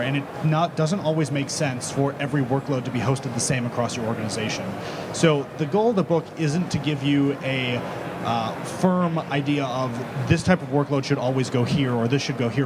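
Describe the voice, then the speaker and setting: A man's voice: mid-range voice, noisy background. A man on a conference panel, explaining a decision framework fluently and at length. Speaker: author on a panel. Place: large conference stage.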